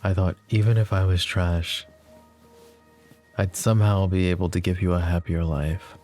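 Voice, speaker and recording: Guy doing a deep mellow mafia boss for roleplay asmr, soft n emotional, lovesick, music underneath. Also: creaky. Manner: soft, emotive, halting delivery with stammers. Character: possessive, lovestruck young male mafia boss, tender and anguished. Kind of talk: intimate roleplay ASMR acting.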